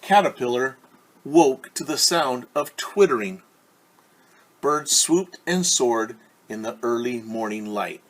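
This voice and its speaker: A man reading a picture book aloud as a teacher, pausing to ask children questions and explain things simply. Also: mid-range voice, animated, low-quality recording.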